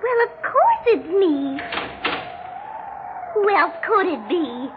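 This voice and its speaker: An actress playing a fretful, affectionate, childlike daughter in a 1960s radio drama, speaking in a prim, melodramatic way. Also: female voice, extremely high pitch, big pitch swings, slow, exaggerated voice. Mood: exasperated. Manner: melodramatic, prim, old-fashioned turns of phrase. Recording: muffled, noisy 1960s radio recording.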